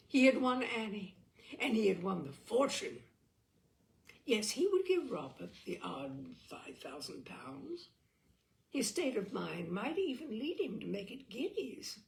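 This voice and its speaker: Lady reading an old comic story out loud, very up and down, bit croaky, dramatic emphasis. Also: on the high side, big pitch swings, slow. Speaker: storyteller, audiobook reader. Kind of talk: expressive literary narration of a comic short story.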